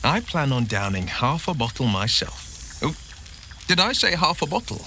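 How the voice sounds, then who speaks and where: An actor playing a loyal, noble-minded adventurer with wry humour and an earnest storytelling manner, in a video game. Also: male voice, fairly high pitch, very animated, moderate pace, bright, forceful tone, a little hiss or hum, exaggerated voice. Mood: playful. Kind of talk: video game dialogue acting.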